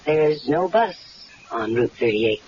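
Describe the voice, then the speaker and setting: A woman's voice: low-pitched, animated, unhurried, darkish tone, slight background noise. An actress playing a scheming, unhappily married woman who coaxes and teases, in a 1970s radio drama. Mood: probing. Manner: teasing, coaxing, knowing. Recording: dull lo-fi 1970s radio recording.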